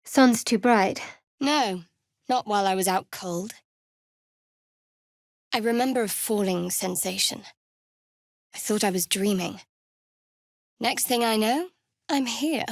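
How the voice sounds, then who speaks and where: A woman playing a guarded, sardonic young cleric of a dark goddess in a fantasy video game, with a dry, wry, clipped delivery. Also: medium pitch, slow, long pauses, no echo. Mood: guarded, matter-of-fact.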